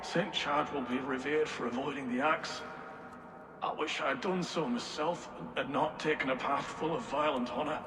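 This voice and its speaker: An actor playing a regretful, pious monk turned warrior, solemn and weary, in a video game cutscene. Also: male voice, on the high side, steady tone, normal speed, lightly acted. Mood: remorseful. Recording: reverberant, roomy, faint ambient noise.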